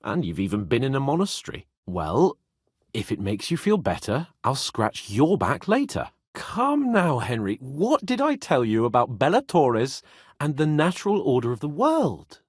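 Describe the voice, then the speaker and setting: Haughty young nobleman, wildly swooping pitch. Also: big pitch swings, normal speed, husky, no echo, studio quality. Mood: teasing, playful, then indignant, haughty. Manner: haughty, sardonic, emphatic. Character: haughty, cocky young medieval nobleman, brash and foul-mouthed. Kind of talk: video game character dialogue.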